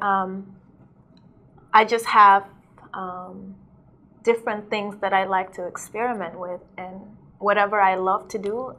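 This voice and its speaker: A woman's voice: lowish voice, fairly bright tone. A young woman from South Sudan, a DJ and model, chatting casually in an interview, saying "like" a lot and laughing often.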